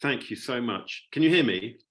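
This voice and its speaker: Male guest speaker, mid-pitched, informal and reminiscing, with hesitant, halting delivery. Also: lively intonation, fairly bright tone. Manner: hesitant, halting with repeated words. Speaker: guest speaker, writer and television producer. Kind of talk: informal talk, personal reminiscence.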